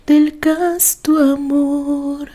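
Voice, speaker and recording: A woman's voice: high voice, little pitch movement, very slow, dark tone, faint music behind, voice pushed up. A woman voicing a tender young mother singing an old lullaby within a narrated story.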